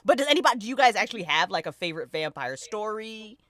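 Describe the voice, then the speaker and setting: Bright, animated woman hosting. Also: medium pitch, quick pace, dry recording. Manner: playful, teasing, slangy. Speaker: host of a podcast listen event. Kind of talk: casual group chat.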